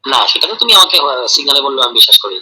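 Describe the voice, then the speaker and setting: A man with a Bangladeshi accent in a private, casual phone call, speaking in a hesitant, halting and defensive way on a tinny recording. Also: mid-range voice, very animated, bright, forceful tone, husky. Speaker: caller in a private phone conversation.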